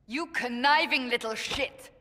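A woman's voice: sits high, unhurried, sharp tone. A woman playing a fierce, devout, hot-tempered warrior in a video game, speaking with formal intensity and blaming herself. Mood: angry, accusing. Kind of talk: dramatic video game acting. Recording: long hall reverb.

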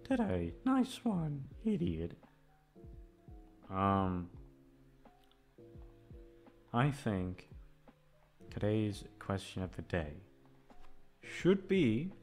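A man rambling in casual chat to his listeners, hesitant, repetitive, sweary and self-deprecating. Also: lowish voice. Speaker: audio creator addressing listeners.

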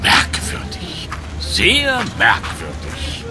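An actor playing a blustering, bemused police commissioner in a children's audio drama, musing in drawn-out puzzlement. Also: male voice, fairly low pitch, wildly swooping pitch, music in the background, exaggerated voice. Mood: puzzled.

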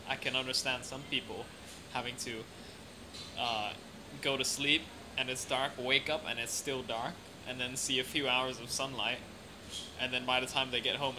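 Young man, mixed accent, noisy room. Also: mid-range voice. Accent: mixed international, lightly British. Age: young adult. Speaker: interview guest. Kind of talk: casual chat. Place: café or restaurant at night. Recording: clearly noisy room ambience.

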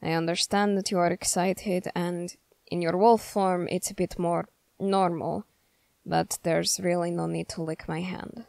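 This is a stern, guarded young soldier of the snowy north , acted by a woman in an ASMR roleplay. The voice sits on the low side and stays very flat. The words come very slowly, in formal, halting phrases spoken straight to the listener. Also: creaky, no room sound. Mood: gently stern. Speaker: roleplay voice performer.

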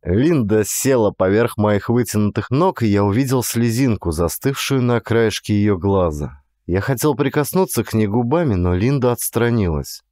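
A man's voice: lowish voice, animated, normal speed, mellow, no room sound, studio quality. A man giving dramatic scripted narration as an audiobook narrator.

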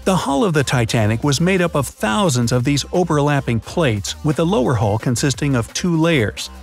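A man's voice: fairly high pitch, lively intonation, moderate pace, creaky. A man reading a scripted explainer narration, dropping in playful jokes now and then. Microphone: studio voice-over. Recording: faint background music.

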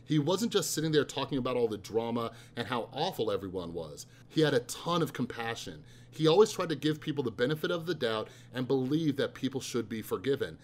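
Casting note: man in his thirties, subdued steady read-aloud of his own writing, middle pitch. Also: brisk, few pauses. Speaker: author.

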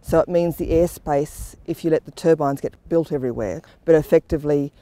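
A woman's voice: low-pitched, a little fast, dark tone. A middle-aged woman explaining a technical subject in an interview, speaking outdoors. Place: outdoors in the open. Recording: outdoor interview with background noise.

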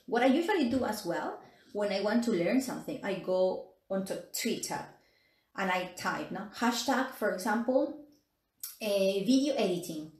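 A woman in her forties with a Peruvian Spanish accent, speaking at a middle pitch as she chats through an English lesson. She laughs often and leans on fillers and little tag questions. Her voice sounds echoey, recorded on a phone in a small room. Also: animated, normal speed, a little mellow. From Peru. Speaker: English teacher. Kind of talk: casual live-stream teaching.

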